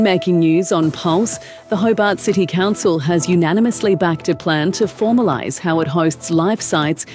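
A woman presenting a local radio news update with crisp headline reading. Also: lowish voice, a little mellow.

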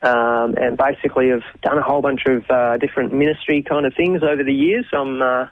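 Casual 40-something Australian guest on a radio interview, reflective, lots of restarts, phone-line sound. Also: male voice, medium pitch, steady tone, fairly quick, no room sound. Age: 40s. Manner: thoughtful, many ums and restarts. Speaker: interview guest, youth minister.

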